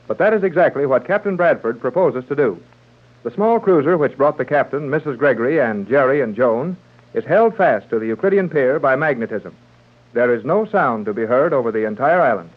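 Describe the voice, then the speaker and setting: A man's voice: mid-range voice, lively intonation, fairly quick. A man narrating a 1930s radio adventure serial as its announcer. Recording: muffled, narrow-band old radio recording.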